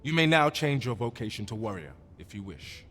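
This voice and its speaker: Formal guild clerk, echoing hall. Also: male voice, mid-range voice, very animated, fairly quick, a bit bright. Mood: courteous, helpful. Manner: formal, archaic, apologetic phrasing. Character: courteous male guild clerk in a fantasy town. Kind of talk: scripted fantasy game dialogue. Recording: long hall reverb, noisy ambience behind.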